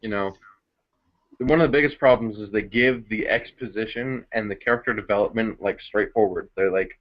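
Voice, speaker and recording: A man's voice: lowish voice, normal speed. A man giving direct, opinionated views as a reviewer in a casual group discussion of films. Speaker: film reviewer. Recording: dull lo-fi sound.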